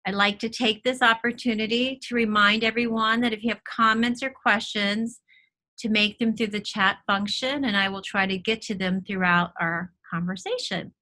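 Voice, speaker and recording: A woman hosts an online art talk with a bright, forward voice. She reads a prepared introduction in a polished, welcoming way before moving into questions for her guest. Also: medium pitch, fairly even intonation, normal speed, poor audio. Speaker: host. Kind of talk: scripted introduction and interview. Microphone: video call.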